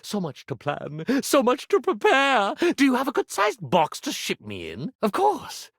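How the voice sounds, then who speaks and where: A man voicing a pompous, insecure stage magician for a video game, with grandiose, florid delivery and dramatic pauses. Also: extremely high pitch, huge pitch swings, a bit bright, no echo, studio quality, heavily acted. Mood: grateful, florid. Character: pompous theatrical iron-turned-stage-magician, melodramatic and insecure. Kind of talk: video game character acting.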